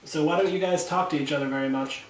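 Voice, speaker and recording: A fairly high-voiced man asks the spirits gentle questions and reads out meter levels. He sounds roomy and a little distant from the mic, with faint noise behind him. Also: quick pace. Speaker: paranormal investigator. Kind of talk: casual ghost-hunting talk. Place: inside a house. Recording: echoey room from far off, faint noise.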